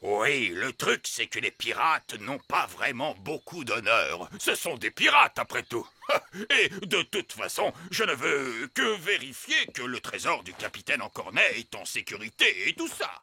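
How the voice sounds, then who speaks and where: An actor playing a boastful, excitable pirate captain in a cartoon, with a raspy voice and blustering, theatrical delivery. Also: male voice, mid-range voice, measured pace, slight background noise, heavily acted. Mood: blustering, defensive. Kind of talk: cartoon voice acting in French.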